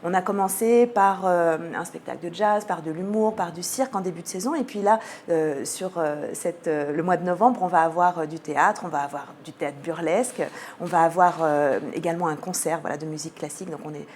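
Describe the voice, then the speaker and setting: A woman's voice: lowish voice, a little fast, a little mellow. French woman explaining, with noise behind. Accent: French from France. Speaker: guest, head of cultural programming at a theatre. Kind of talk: radio interview, explaining a season programme.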